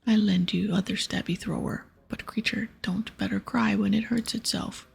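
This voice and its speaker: A woman voicing a bossy, dim-witted ogre hunter from a fantasy game in a breathy whisper, with blunt, broken grammar. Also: medium pitch, steady tone, moderate pace, darkish tone, heavily acted. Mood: grudging.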